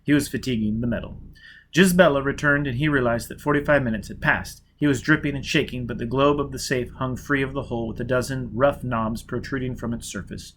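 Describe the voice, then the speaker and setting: Man, audiobook narrator, steady dramatic reading, bright voice, faint hiss. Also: mid-range voice, fairly quick. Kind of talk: fiction narration.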